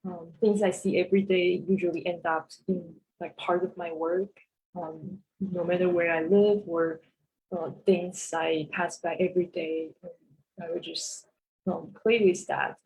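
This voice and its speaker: Woman from Taiwan, low-pitched voice, halting with many fillers, faint hum behind, video call. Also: level tone, creaky, a little mellow, low-quality recording. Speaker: artist presenting work. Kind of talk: casual presentation.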